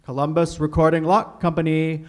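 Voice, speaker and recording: Man playing formal old-time radio announcer, highish voice. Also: flat delivery, normal speed, darkish tone, lightly acted. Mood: courteous, persuasive. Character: Paul Hartman, courteous male radio announcer and sponsor pitchman. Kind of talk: recreated 1940s radio announcing, scripted narration.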